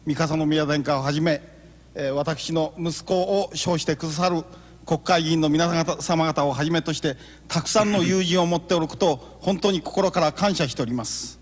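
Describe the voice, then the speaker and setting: A man's voice: a little high, quick pace. A man interpreting a speech in polite, honorific phrasing. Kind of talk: formal interpretation. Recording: reverberant banquet hall.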